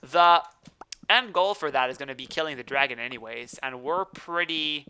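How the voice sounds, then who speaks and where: A man speaking English with a French accent hosts a relaxed gaming podcast. His voice is very bright and smooth, and he rambles, restarting sentences as he goes. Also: a little high, moderate pace. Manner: rambling, self-correcting, chatty. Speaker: let's play host. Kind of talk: casual podcast chat while gaming.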